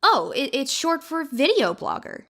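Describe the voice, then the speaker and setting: A woman plays a perky young female vlogger in an audio drama. Her voice is fairly high and sharply bright. She puts on showy patter with a sardonic streak. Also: sing-song, measured pace, full character voice.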